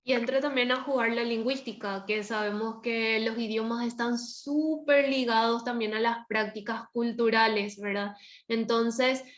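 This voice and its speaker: A woman's voice: fairly high pitch, steady tone, normal speed, reverberant. A woman with a Paraguayan Spanish accent speaking casually and expressively as a panelist in a discussion.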